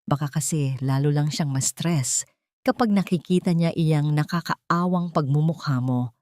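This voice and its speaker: Spiteful sister-in-law for audio drama, played by a female storyteller: scornful, falsely caring, warm dark timbre. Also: sits low, moderate pace, no echo, clean studio sound, natural voice.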